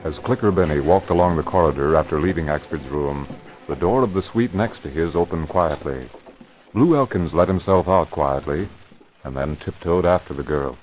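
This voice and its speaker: A man narrating a vintage radio drama in a measured, dramatic manner. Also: extremely low pitch, soft background music. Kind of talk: scripted narration. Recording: muffled vintage radio broadcast.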